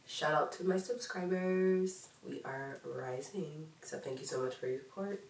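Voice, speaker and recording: A woman's voice: deep voice, measured pace. An American woman in her thirties with a congested, nasal voice, chatting casually and informally as a fitness vlogger giving an update. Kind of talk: casual vlog update to camera. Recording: roomy echo, distant microphone.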